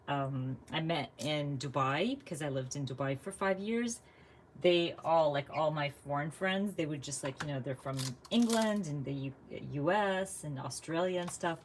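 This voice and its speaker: Low-voiced chatty American woman crafting. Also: slight background noise. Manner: chatty, thinks aloud while working, laughs often. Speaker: craft vlogger. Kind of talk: casual live craft chat.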